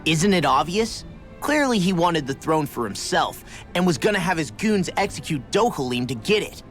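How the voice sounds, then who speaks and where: Game role: young adventurer played by a woman, plucky, informal, blunt, highly varied intonation. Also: expressive, normal speed, lightly acted. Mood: matter-of-fact. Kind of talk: video game voice acting. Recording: music under the voice.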